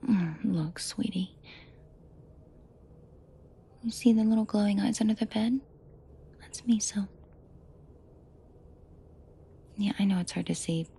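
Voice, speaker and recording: A woman playing a protective mafia boss in an intimate audio drama, soft and breathy with frequent whispering, commanding and dryly teasing. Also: mid-range voice, measured pace, long pauses, exaggerated voice. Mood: gentle. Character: protective female mafia boss, menacing to henchmen, tender to victim.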